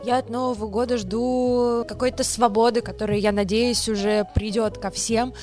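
A woman's voice: on the high side, flat delivery, normal speed, a little mellow, soft background music. A woman co-hosting a podcast, offering holiday wishes in a casual chat. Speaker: podcast co-host.

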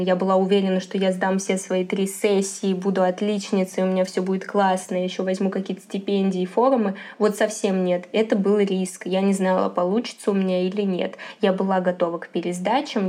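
A woman's voice: mid-range voice, mellow. A woman in her twenties, a podcast guest, giving earnest and emphatic motivating advice. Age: 20s.

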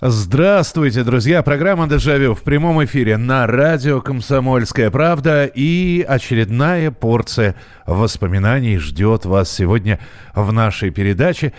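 A man's voice: medium pitch, animated, a little slow, faint music behind. A middle-aged man hosting a live radio show, chatting casually and directly to listeners in a rambling, hesitant way, often correcting himself. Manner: conversational, addresses listeners directly. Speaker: radio host.

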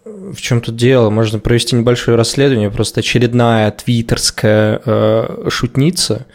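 A man's voice: mid-range voice, fairly even intonation, moderate pace. A man of twenty-nine chatting casually as a podcast co-host, in a rambling, hesitant way. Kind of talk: casual chat among co-hosts.

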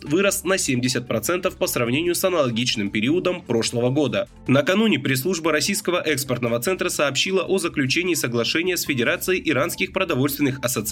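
Male radio newsreader, mid-pitched, formal measured bulletin read over a music bed. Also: a bit bright. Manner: formal, measured announcer delivery. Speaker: radio news presenter. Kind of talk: scripted news bulletin reading.

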